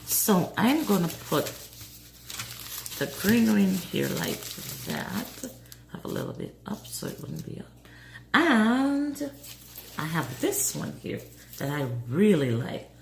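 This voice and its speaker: A hoarse woman in a casual craft vlog, calmly talking through each step as she works. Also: medium pitch, slow, long pauses. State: hoarse, voice going. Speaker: craft vlogger. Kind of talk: casual DIY tutorial narration. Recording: roomy echo with faint noise.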